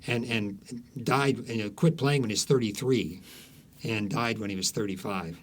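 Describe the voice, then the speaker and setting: Lowish guy voice, author being interviewed, stop-start storytelling, leans hard on key phrases. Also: normal speed.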